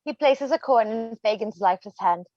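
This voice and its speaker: A woman's voice: a little high, moderate pace, a little mellow, no echo. A woman reading stage directions as scripted narration, on a slightly dull microphone.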